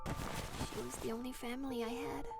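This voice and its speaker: A woman voicing a fragile, emotional young woman in a manga dub, with breathy delivery. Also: sits high, little pitch movement, moderate pace. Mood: weak, grieving. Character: young woman, orphaned grieving sister seeking revenge, fragile. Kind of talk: anime-style dub acting. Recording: loud music bed underneath. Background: music.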